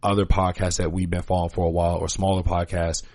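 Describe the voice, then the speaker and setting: Low-voiced man, earnest podcast host. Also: flat delivery, fast talker, a little mellow, no echo, clean studio sound. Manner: earnest, opinionated, slangy, repeats points for emphasis. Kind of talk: casual conversational podcast talk.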